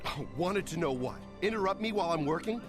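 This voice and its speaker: A pompous restaurant critic from a video game, full of his own importance. His highish voice swoops up and down with haughty, demanding emphasis, over clearly audible music. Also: expressive, quick pace. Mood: indignant. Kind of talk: video game character acting.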